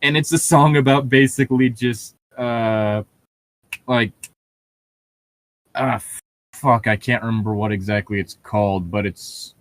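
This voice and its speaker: A man's voice: mid-range voice, steady tone, measured pace, pauses often, no echo. A man in a casual, opinionated chat, talking in a rambling, sweary way.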